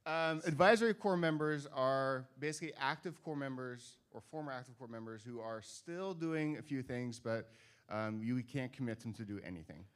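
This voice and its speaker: A man's voice: mid-range voice, normal speed, clean tone. A Dutch man co-chairing a conference, talking casually and joking with a live audience on stage. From Netherlands. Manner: chatty, self-deprecating, joking asides.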